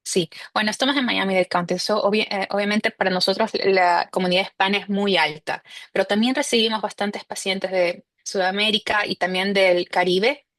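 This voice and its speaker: A woman from Ecuador with an Ecuadorian Spanish accent, a cardiologist answering interview questions in a measured way, often saying "este" and slipping in English medical terms. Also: medium pitch, fairly even intonation, fairly bright tone, no echo. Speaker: cardiologist guest.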